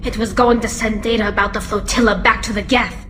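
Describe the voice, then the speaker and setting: A woman's voice: mid-range voice, fairly even intonation, brisk, music in the background, lightly acted. An actress playing a wary, urgent young female alien engineer in a science fiction game. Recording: noisy reverberant game room.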